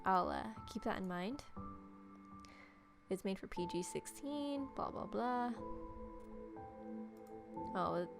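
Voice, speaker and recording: A woman with a mid-pitched voice and noticeable vocal fry plays through a game on video. She reads the text aloud and drops dry, sardonic comments over faint music. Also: lively intonation, slow, long pauses. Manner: wry, sardonic asides. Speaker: gaming video host. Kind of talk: casual let's-play, reading game text aloud with commentary. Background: soft game music.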